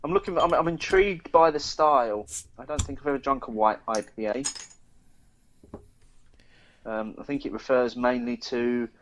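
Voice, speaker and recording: British man, lower-pitched, casual podcast host. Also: moderate pace, pauses often, highs cut off, a little hiss or hum. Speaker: podcast co-host reading news. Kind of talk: casual beer podcast chat.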